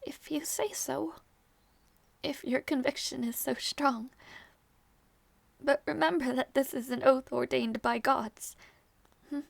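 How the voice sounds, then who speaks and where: A young princess voiced by a woman in a close, dry audio roleplay, high-pitched and slow. She speaks softly and regally, tender and wistful, with creaky edges to her measured phrases. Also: fairly even intonation, no room sound, exaggerated voice. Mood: solemn, tender. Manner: soft, measured, regal phrasing with small teasing turns. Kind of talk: intimate scripted audio roleplay acting.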